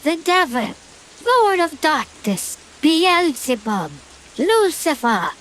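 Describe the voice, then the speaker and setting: Woman as a high, slow, melodramatic witch. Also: very animated, background noise, exaggerated voice. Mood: grave, resigned. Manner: theatrical, drawn-out. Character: female witch, sardonic, melodramatic, doomed. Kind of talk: horror-comedy radio play acting.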